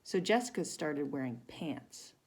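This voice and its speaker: Gravelly-voiced woman reading a children's storybook. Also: sits low, expressive, measured pace, a little mellow. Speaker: volunteer storybook reader for children. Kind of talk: read-aloud children's story with asides to listeners.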